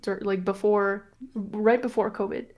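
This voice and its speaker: A woman's voice: medium pitch, fairly even intonation, measured pace, a little mellow. A woman from Canada, born in Colombia, chatting casually as an interview guest. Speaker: interview guest, streamer and singer. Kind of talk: casual chat.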